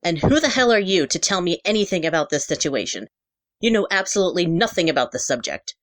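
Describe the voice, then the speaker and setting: A woman's voice: on the low side, sing-song, fast, no room sound, rough recording. A woman voicing a middle-aged father in an audio story, guarded and wounded, earnest and apologetic. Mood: angry, defensive. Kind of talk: dramatic character dialogue in a narrated audio story.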